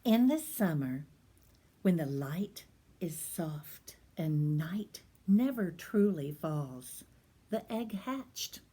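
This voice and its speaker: A woman who is a reading teacher, reading a picture book aloud to children in a gentle, soft manner that slips into whispers. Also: lowish voice, expressive, very slow, slightly rough.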